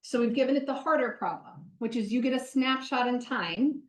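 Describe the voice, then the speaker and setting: Woman, professor, lecture Q&A, energetic, explanatory, self-correcting, roomy hall echo. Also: on the high side, fairly quick. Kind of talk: lecture Q&A answers.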